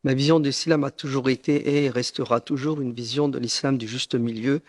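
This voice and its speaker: A man in his late fifties from France, gives a prepared personal statement in a solemn, formal, deliberate way. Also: mid-range voice, steady tone. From northern France. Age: late 50s. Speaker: preacher making a personal statement.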